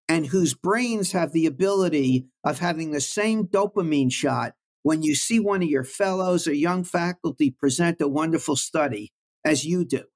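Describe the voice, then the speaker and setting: An older man from Brooklyn, telling stories about his career in a warm, reflective way as an interview guest. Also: sits high. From Brooklyn, New York. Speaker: guest oncologist. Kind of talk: storytelling in an interview.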